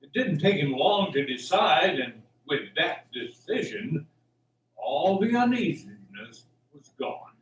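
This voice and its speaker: A man's voice: on the high side, sing-song, slow pace, a little hiss or hum. A man narrating a scripted radio drama with a dramatic storytelling delivery. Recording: roomy, distant mic, faint music.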